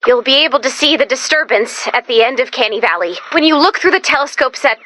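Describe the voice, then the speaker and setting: High, bright, animated female robot sidekick. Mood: earnest. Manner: expressive, exasperated, quick to react. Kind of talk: animated video game voice acting.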